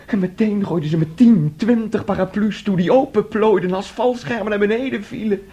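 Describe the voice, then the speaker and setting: A nervous, clinging young man in a Dutch radio drama. His voice sits fairly high for a male, a little muted and husky, and he speaks in agitated, halting bursts, naive and boastful by turns. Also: dark tone, highs cut off. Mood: troubled, confiding. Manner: agitated, halting, repeating himself. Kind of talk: Dutch radio play acting.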